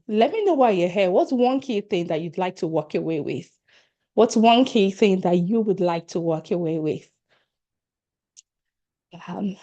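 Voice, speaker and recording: A woman's voice: mid-range voice, warm tone, dull audio. A middle-aged woman with a Nigerian accent, a leadership coach giving an online workshop over a video call, earnest and encouraging as she speaks straight to attendees.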